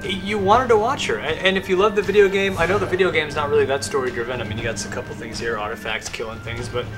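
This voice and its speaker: A man with a voice a little higher than average gives casual, opinionated commentary as a show co-host. He is emphatic and informal, repeating himself to make a point. Music plays behind him. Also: fast. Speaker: co-host of a video game review show. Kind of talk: casual opinionated movie countdown chat.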